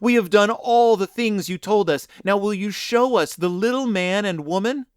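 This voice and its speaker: A man voicing a pair of young children, begging in high, breathless voices while reading a storybook aloud. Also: wildly swooping pitch, slow pace, a little mellow, dry recording, studio quality, exaggerated voice. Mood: eager pleading. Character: pleading young children, excited and desperate.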